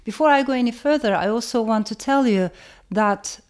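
A woman from Turkey giving a reflective educational talk, speaking in a measured way and repeating phrases for emphasis. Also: on the high side.

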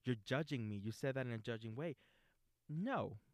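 A man hosting a relaxed talk about acting technique, rambling a little with restarts as he thinks aloud. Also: medium pitch, moderate pace, no echo, studio quality. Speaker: host.

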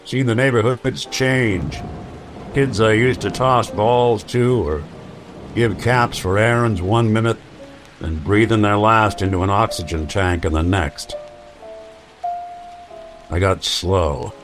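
An actor playing a weary, wry old android detective in a noir radio drama. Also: male voice, on the low side, music in the background. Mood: weary grief. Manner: wry, slow, world-weary.

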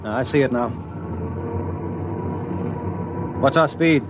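A man's voice: medium pitch, a little fast, over music, close to an everyday voice. An actor playing a rugged, determined spaceman and narrator in a 1950s radio drama. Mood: brisk, commanding. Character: rugged, determined spaceman narrating his dangerous planetary expedition. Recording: dull old radio recording.

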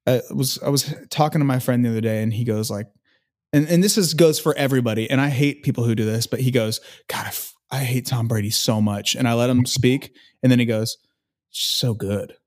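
A man's voice: mid-range voice, fairly quick, a little mellow. Rambling young man full of "like" and "dude". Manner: rambling, filler-heavy, says "dude" and "like". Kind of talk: casual chat.

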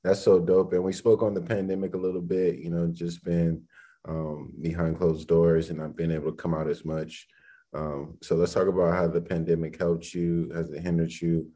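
Very deep, flat, dark-voiced male interviewer. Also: moderate pace. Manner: laid-back, slangy. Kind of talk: casual interview questions.

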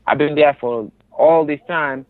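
A man with a Congolese accent speaks over a narrow, phone-like connection in a somewhat high voice. He gives personal testimony as an interview guest. His manner is earnest and emphatic, circling back to repeat his phrases. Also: measured pace, no echo. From Democratic Republic of the Congo, raised in a refugee camp in Tanzania. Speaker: interview guest, resettled refugee.

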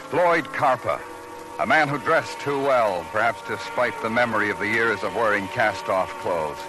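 Male actor as world-weary police lieutenant, American, terse, deadpan, noir radio drama, old broadcast recording with music. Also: medium pitch, natural voice, rough recording. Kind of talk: 1940s-50s radio noir acting and narration.

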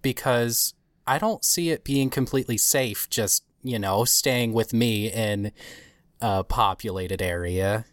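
A woman playing a hesitant, pleading hunter in an audio drama, speaking haltingly and unsure of herself. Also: very deep voice, no room sound. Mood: worried, pleading. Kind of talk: scripted audio drama dialogue.

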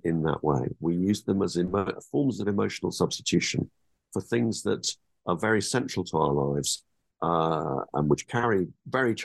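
A middle-aged man with a low, gravelly, slightly husky voice talks through ideas in a thoughtful, halting way, often stopping to restart a phrase. The sound is dry and close, with no room around it. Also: no room sound. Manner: hesitant, many restarts and fillers, reflective. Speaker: author guest. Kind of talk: academic interview over a call.

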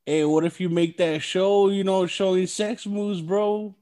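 A man's voice: sits high, steady tone, measured pace, warm tone. A man hosting a podcast, chatting casually in an agreeable, slangy way. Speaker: podcast host.

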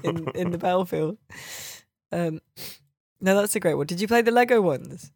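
A podcast host with a mid-pitched voice, recorded dry and close. The talk is casual games chat, full of swearing and wry jokes. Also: animated, normal speed, no room sound.

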